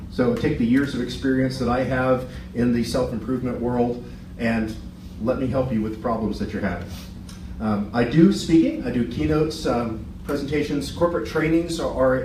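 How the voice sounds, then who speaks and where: Middle-aged guy pitching his business, chatty, keeps restarting, mic far off in a roomy hall. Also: background noise. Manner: chatty, self-deprecating, with many restarts. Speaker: business presenter. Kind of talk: short pitch talk. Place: meeting room. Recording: echoey room, far from the mic.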